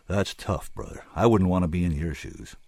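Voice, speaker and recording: A big, kindly barkeeper of few words from a storybook, put on by a male reader. The voice sits deep and rough, with a growl and a folksy drawl. The sound is dry and close. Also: moderate pace, a little mellow, no echo. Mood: laconic, kindly.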